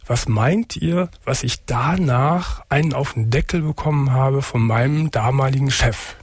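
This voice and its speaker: Hoarse man hosting late-night radio. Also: mid-range voice, no echo. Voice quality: husky. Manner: calm, earnest, encouraging. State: hoarse from a sore throat. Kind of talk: call-in radio talk.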